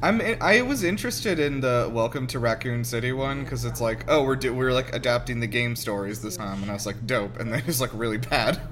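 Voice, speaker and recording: A man giving casual, excitable, sweary commentary as host of a film reaction show. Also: mid-range voice, a little fast, hardly any pauses. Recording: music playing behind. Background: film soundtrack music.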